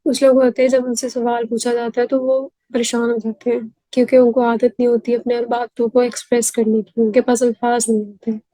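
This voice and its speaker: A Pakistani woman hosting a mental health series, hoarse from a sore throat, explaining earnestly as she switches between Urdu and English. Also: on the high side, little pitch movement, fairly quick, few pauses, warm tone, no echo, rough recording. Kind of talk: self-help talk to camera.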